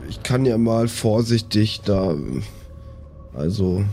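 A man's voice: lowish voice, takes it slowly, dark tone, a little hiss or hum. A man playing an improvised tabletop role-playing game in a hesitant, reluctant and slangy manner. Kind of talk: casual improvised play.

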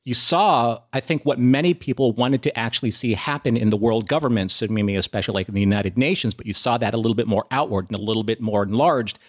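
A man's voice: mid-range voice, quick pace, hardly any pauses. Man, American accent, mid-range voice, earnest and explanatory, repeats phrases for emphasis, conversational podcast panel. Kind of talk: conversational discussion.

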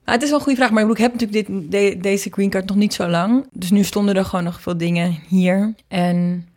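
A woman's voice: mid-range voice, steady tone, a little fast, a little mellow. A woman chatting casually in an interview, with an informal, rambling way of talking. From Netherlands. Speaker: singer and producer being interviewed.

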